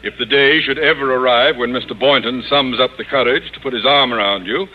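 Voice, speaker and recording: A man's voice: mid-range voice, brisk, natural voice. A man acting a pompous, bossy, blustering middle-aged school principal in theatrical old-time radio comedy. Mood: condescending. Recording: muffled, faintly noisy old radio recording.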